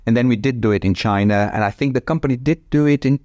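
A man, a former ballet dancer who now teaches, talking in a reflective conversation, halting often and restarting his sentences. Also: mid-range voice, a little mellow, no echo, muffled recording. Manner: halting, with frequent restarts and laughs.

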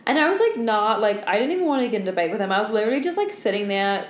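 A woman's voice: medium pitch, very animated, racing. A tired young woman co-hosts a casual podcast in a roomy, echoey space. She tells work stories in slangy, sweary speech full of "like". There is noticeable noise behind her. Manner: slangy, sweary, heavy use of "like". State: tired from being up all night. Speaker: podcast co-host. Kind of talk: casual chat, telling work anecdotes. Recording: echoey room with clear background noise.

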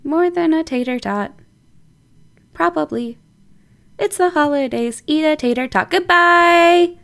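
A woman's voice: high-pitched, a little slow, vocal fry, a bit bright. A woman presenting a chatty scripted podcast segment, speaking directly to listeners. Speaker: podcast producer with a regular segment.

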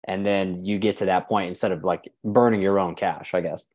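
A man's voice: low-pitched, fairly even intonation, brisk, darkish tone. A man hosting a podcast, chatting in an easygoing way with enthusiastic reactions. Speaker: podcast host. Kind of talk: casual interview chat.

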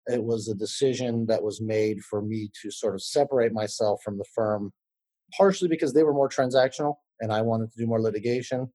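A man in his thirties speaks in a low, mellow voice with a slightly husky texture. He is an attorney on a podcast and answers in a measured, conversational way, pausing on fillers and restarting his sentences.

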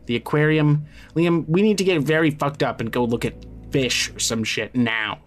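An actor playing a drunk, blunt, foul-mouthed young man who insistently and gruffly looks out for his friend in an audio drama. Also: on the high side, moderate pace, close to an everyday voice. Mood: agitated. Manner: slangy, insistent, gruffly caring. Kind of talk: audio drama acting, naturalistic.

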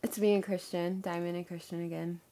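A woman's voice: fairly low pitch, flat delivery, a little fast, warm tone, slight background noise. A young woman co-hosting a casual podcast, sounding nasal from a cold, chatty with lots of fillers. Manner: chatty, laughing, filler-heavy. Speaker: podcast co-host. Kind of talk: casual chat.

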